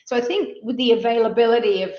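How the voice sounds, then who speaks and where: A woman's voice: on the high side, quick pace. Australian woman, roomy echo. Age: middle-aged. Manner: fluent, with frequent fillers and repeated words. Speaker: advisory leader, webinar panelist. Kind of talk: webinar presentation. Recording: muffled audio, roomy echo.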